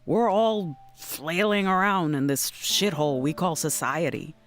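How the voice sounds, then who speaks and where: A cynical, easygoing woman who deals drugs in a small town. Her speech is slow and animated in pitch, full of blunt slang and teasing philosophy, in a naturalistic audio drama style. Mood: wry, philosophical. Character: laid-back, teasing, cynical female small-town drug dealer.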